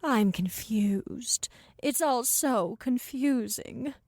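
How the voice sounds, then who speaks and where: A woman playing a weary, confused and blunt character in an audio drama, halting and breathy. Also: on the high side, a little mellow, no echo, exaggerated voice. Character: confused, weary woman, blunt wine-drinking mother figure. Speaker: actor.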